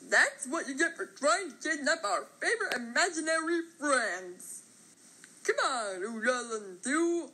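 Tiny brave grass cartoon guy, bright scratchy kid voice, super excitable, talks in 3rd person. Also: high-pitched, slightly rough, full character voice. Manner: exclaims, speaks of self in third person. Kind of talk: cartoon character voice lines.

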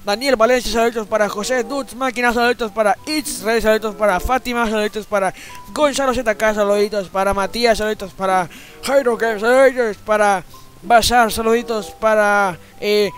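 A man's voice: fairly even intonation, fairly quick, a bit bright, soft background music. A man voicing a foul-mouthed, cranky old grandfather who grumbles and swears while playing a video game. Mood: rattling off. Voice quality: high, strained old-man voice. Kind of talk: comedic gameplay commentary.

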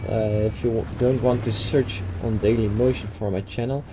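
A man's voice: fairly low pitch, fairly even intonation, moderate pace. A young man from the Netherlands with a Dutch accent, talking over a game replay with hesitant fillers and restarts. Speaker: gaming YouTuber. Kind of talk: gameplay commentary over a replay. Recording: game noise behind the voice.

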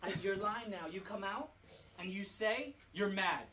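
A woman's voice: medium pitch, unhurried, fairly bright tone. A woman reading scripture aloud and directing children in an instructive way, repeating short directions. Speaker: parent leading a family Bible reenactment. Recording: distant mic with music underneath.

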